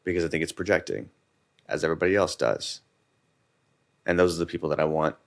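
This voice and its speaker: A man speaking as a podcast guest in casual conversation, relaxed and candid. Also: a bass voice, quick pace, vocal fry. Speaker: podcast guest, content creator.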